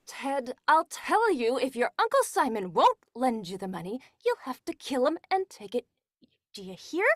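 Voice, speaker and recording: Woman playing a scheming, scornful wife, animated. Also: high-pitched, normal speed, no room sound, clean studio sound, exaggerated voice. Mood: impatient, scornful. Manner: scornful, commanding. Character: cold scheming wife, bossy and impatient. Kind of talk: 1940s radio melodrama acting.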